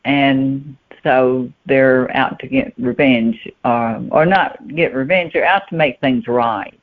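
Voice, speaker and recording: A woman's voice: extremely low pitch, slow. Woman on a thin phone line. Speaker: guest novelist. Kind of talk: conversational interview answers.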